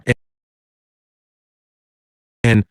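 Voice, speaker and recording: A man's voice: drawn-out words, no echo, studio quality. A man hosting a casual podcast, rambling with many fillers. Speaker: podcast host.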